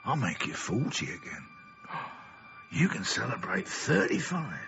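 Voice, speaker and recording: An actor playing a sinister carnival master in a radio play, menacing and tempting, with a theatrical, taunting delivery. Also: male voice, lowish voice, wildly swooping pitch, a little slow, vocal fry, pushed and bright, exaggerated voice. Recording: dull lo-fi radio play, faint music.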